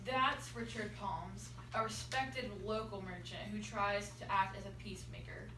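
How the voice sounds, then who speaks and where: A woman's voice: medium pitch, steady tone, a little slow. Young teen girl narrating, distant and echoey. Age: young teenager. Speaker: student narrator. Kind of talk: scripted narration read aloud in a classroom play. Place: school classroom. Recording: echoey classroom, far from mic, noisy.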